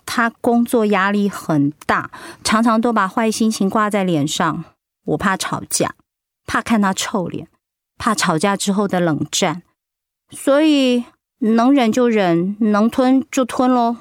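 A woman's voice: medium pitch, a little slow, no echo, clean studio sound, lightly acted. An actress playing an outgoing, candid middle-aged woman in a conversational radio drama. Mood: weary, candid. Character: warm, outgoing middle-aged woman, breast cancer survivor.

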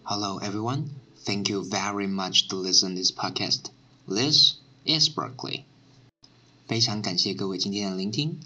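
Low-key male podcast host signing off. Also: pushed and bright, husky. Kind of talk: casual podcast sign-off.

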